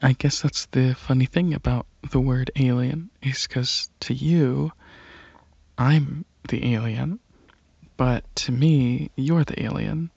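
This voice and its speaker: A man playing a shy, gentle alien dragon in an ASMR roleplay, speaking softly and haltingly in a breathy, earnest way. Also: medium pitch, no room sound, lo-fi sound, exaggerated voice. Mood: curious, earnest. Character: shy, gentle alien dragon host, curious about Earth. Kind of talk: ASMR roleplay, soft rambling monologue.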